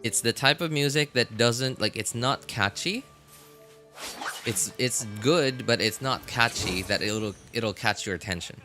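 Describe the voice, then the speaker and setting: A man chatting casually as he streams a game, rambling and halting as he thinks aloud. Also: mid-range voice, sharp tone, soft background music. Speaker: live streamer. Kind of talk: casual gaming stream chat.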